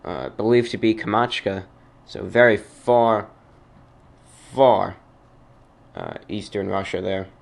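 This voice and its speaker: A man speaks as the host of a casual radio show. His voice sits fairly low and has a marked gravelly fry. He explains things conversationally, with a halting delivery. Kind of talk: casual informal radio commentary.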